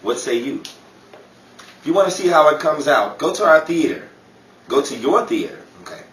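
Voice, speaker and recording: A man's voice: fairly high pitch, expressive, moderate pace, fairly bright tone. A man playing a jokey, theatrical emcee in a 1930s-style radio comedy show. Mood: playful. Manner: playful, theatrical. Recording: roomy, distant-mic recording with clear noise.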